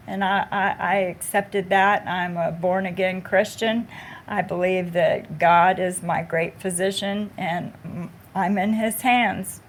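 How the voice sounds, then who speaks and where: A woman on a panel talking about her own cancer treatment, slow and halting, with many fillers. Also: medium pitch, slight background noise. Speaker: patient panelist. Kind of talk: panel discussion, personal story.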